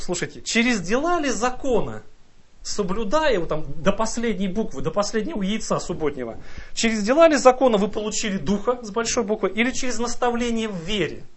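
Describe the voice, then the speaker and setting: A man preaching a sermon, earnest and exhorting, reading scripture aloud and mimicking the excuses of doubters. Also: high voice.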